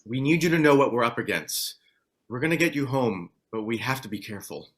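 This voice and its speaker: Man as a quick-talking, husky, cautious survivor. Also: mid-range voice, animated. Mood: wary. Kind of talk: table read of a TV pilot script.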